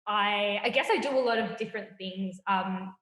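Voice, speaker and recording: A woman's voice: mid-range voice, normal speed, a bit bright. A young woman with an Australian accent, co-hosting a casual chat and game, speaking easygoing and thoughtful with frequent laughter. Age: young adult. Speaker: co-host, PhD student. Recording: echoey room with long reverb.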